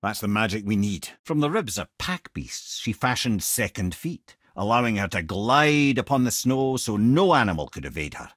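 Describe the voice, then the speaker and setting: A man's voice: lowish voice, sing-song, normal speed, few pauses, fairly bright tone, no room sound, clean studio sound. A male actor voicing a witty, wise, talkative older Scottish companion in a video game, with a wry, eloquent storytelling manner. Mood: solemn storytelling. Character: witty, garrulous, wise severed-head companion, older man.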